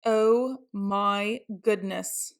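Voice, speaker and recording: A woman's voice: medium pitch, steady tone, very slow, creaky, clean tone. A woman reading a children's book aloud in a theatrical storytelling style, with exaggerated exclamations.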